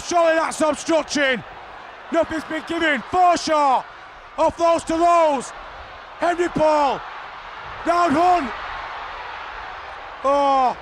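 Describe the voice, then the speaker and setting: A man giving excited play-by-play commentary on a rugby league match, often shouting over the action. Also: extremely high pitch. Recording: archive broadcast with crowd noise.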